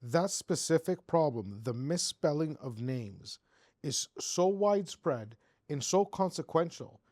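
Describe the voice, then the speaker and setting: Animated Greek-born man hosting an interview. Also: fairly high pitch, measured pace, dry recording, studio quality. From Greece. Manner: animated, builds examples with rising emphasis. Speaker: podcast host. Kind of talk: conversational interview.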